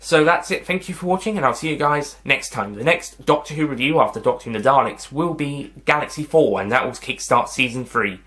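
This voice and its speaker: Bright-voiced man rambling through a review. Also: mid-range voice, fairly quick, few pauses. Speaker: host of a video review channel. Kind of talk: casual spoken ranking and review to viewers.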